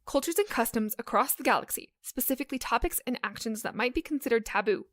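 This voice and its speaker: A woman's voice: a little high, lively intonation, fast, no echo, lightly acted. A woman playing a dutiful, studious young space recruit in a comedy audio drama, earnest and precise.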